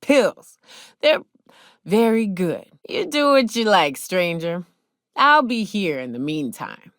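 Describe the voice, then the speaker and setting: An actress voicing a brash, hard-drinking big game hunter in a video game, with folksy, slangy, sardonic talk. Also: female voice, medium pitch, big pitch swings, takes it slowly, a bit bright, no echo, studio quality, full character voice. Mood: boozy, cocky. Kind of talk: video game character dialogue.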